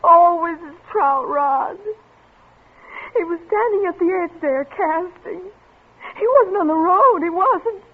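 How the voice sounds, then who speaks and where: A high-voiced, sobbing, grief-stricken mother. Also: slow pace. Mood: anguished shock. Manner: sobbing, shrill, repeats words in shock. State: crying. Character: distraught young mother clutching her dead son. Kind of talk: 1950s radio drama acting. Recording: muffled lo-fi vintage radio recording.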